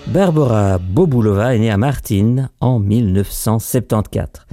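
A man presenting a scripted radio feature, reading in a measured, formal way. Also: fairly low pitch, lively intonation, over music.

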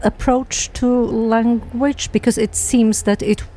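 A woman moderating a panel discussion, asking deliberate, measured questions. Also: fairly high pitch, fairly even intonation, mellow.

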